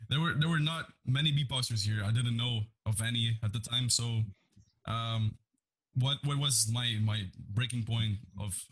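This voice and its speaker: A man's voice: medium pitch, slightly rough. A man from Madeira, a beatboxer thoughtfully telling his story over a video call. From Madeira, Portugal. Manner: thoughtful storytelling with fillers and restarts. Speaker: guest, beatboxer. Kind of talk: casual interview chat.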